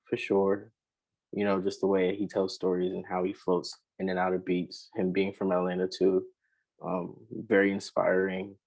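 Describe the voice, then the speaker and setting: Low monotone guy chatting about his music, laid back, lots of likes and ums. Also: rough recording. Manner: relaxed, rambling, many fillers. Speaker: rapper and singer, interview guest. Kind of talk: casual interview chat.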